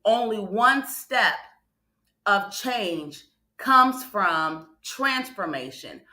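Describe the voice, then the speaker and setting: A woman delivers a motivational morning sermon to online listeners. Her voice is bright and forceful at a middle pitch, and the room echoes around it. She leans on repeated phrases and often checks in with her audience. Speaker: guest preacher hosting a morning livestream. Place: indoor room on a livestream. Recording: roomy, echoing livestream audio.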